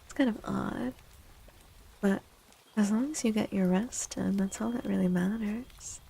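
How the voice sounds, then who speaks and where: A woman speaking softly and breathily, often near a whisper, in an unhurried, gentle ASMR livestream chat. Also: mid-range voice, creaky, slight background noise. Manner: gentle, slow, unhurried, addressing chatters. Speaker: livestream host and tarot reader.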